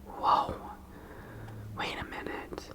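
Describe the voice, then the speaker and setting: A woman voicing an excitable, easily startled young girl in a whispered, breathy storybook reading. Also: extremely slow. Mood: excited. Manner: exclaiming and indignant.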